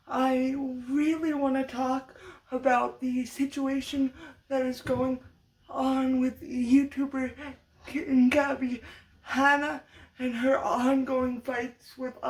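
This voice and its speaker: A young woman with a high voice, speaking slowly and haltingly. Her voice is fading from allergies and her nose is stuffed up. She keeps restarting words as she chats to camera in a loose, unscripted vlog. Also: darkish tone, rough recording. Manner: halting, many restarts and stutters. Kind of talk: unscripted casual commentary vlog.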